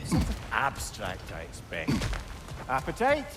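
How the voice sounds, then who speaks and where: A man's voice: mid-range voice, huge pitch swings, unhurried, loud music behind the voice. An actor playing a witty, chatty, inquisitive male companion in a video game, with a wry, musing way of talking. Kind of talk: video game character acting.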